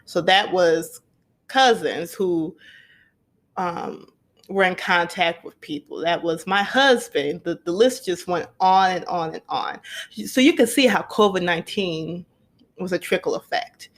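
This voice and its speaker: A woman's voice: lowish voice, a little slow. A young woman hosting a podcast, telling a personal story in an earnest, conversational way and repeating points for emphasis. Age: young adult. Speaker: podcast host.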